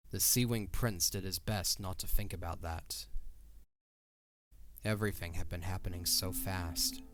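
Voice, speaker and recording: Deep-voiced male story narrator. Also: no echo.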